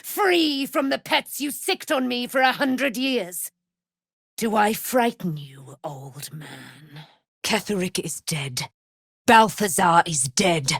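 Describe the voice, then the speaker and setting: A woman's voice: mid-range voice, animated, takes it slowly, fairly bright tone, slightly rough, no echo, clean studio sound, heavily acted. A woman playing a proud, righteous immortal warrior in a video game, with grand, formal, declamatory delivery and archaic phrasing. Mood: defiant, menacing. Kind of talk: dramatic video game voice acting.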